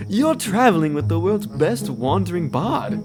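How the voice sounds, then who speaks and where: A man acts out a charming demon bard with a lively, swooping voice. He is playful, flirtatious and theatrical, breezily showing off in an audio drama with music clearly behind him. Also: high voice, takes it slowly, full character voice. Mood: playful, showy.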